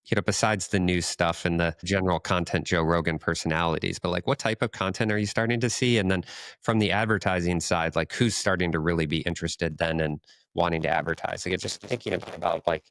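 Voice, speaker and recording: A man's voice: low-pitched, steady tone, fairly quick, a bit bright, dry recording. A man co-hosting a podcast, asking casual questions in a roundabout, hedging way. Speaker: podcast co-host.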